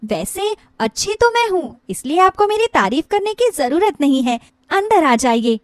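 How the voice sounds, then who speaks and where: Young sister-in-law for a moral story played by a woman: high, lively, chatty, affectionate and playful. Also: moderate pace, no echo, clean studio sound. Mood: teasing, cheerful. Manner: eager, playful, talkative. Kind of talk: animated Hindi moral-story character voice.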